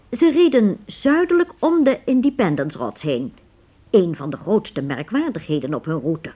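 A woman reading scripted narration as the storyteller of a radio drama. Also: lowish voice.

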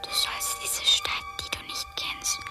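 An actress playing an unearthly, commanding woman who speaks in a breathy whisper, in a radio drama. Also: very high-pitched, monotone, takes it slowly. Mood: solemn farewell. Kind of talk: German radio drama acting. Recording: distant, music underneath. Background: music.

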